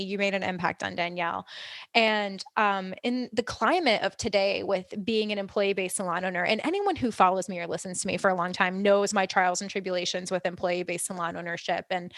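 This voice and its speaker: A woman's voice: medium pitch, a bit bright, no room sound. A young woman hosting a podcast, chatting casually and filling her talk with "like". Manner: chatty, many "like" fillers. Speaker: podcast host. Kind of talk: casual interview chat.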